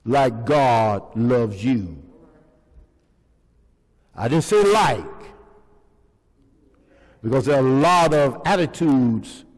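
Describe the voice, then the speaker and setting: Older pastor preaching slowly in a church. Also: male voice, mid-range voice, darkish tone. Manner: preaching with call-and-response questions to the congregation. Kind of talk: sermon. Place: large church sanctuary, indoors. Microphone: pulpit microphone. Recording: long reverb of a church hall.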